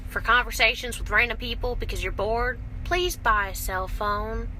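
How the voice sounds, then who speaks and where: Young woman, bright crisp-edged tone, casual blunt banter, vlog style, light road noise. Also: fairly high pitch, normal speed. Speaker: team truck driver and video host. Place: inside a truck cab. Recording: steady vehicle and road noise.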